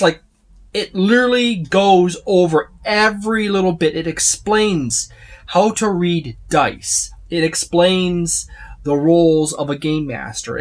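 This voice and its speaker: A person giving a casual, unscripted overview of a game rulebook, rambling and repeating points for emphasis as they itemize. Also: mid-range voice. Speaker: tabletop game book reviewer.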